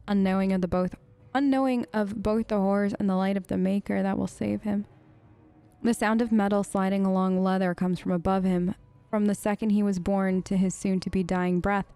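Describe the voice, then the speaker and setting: A woman's voice: mid-range voice, warm tone, clear, resonant tone, no echo, clean studio sound. A woman hosting a game let's play, reading in-game lore aloud and breaking off with curious, puzzled questions. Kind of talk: gameplay commentary and reading in-game text aloud.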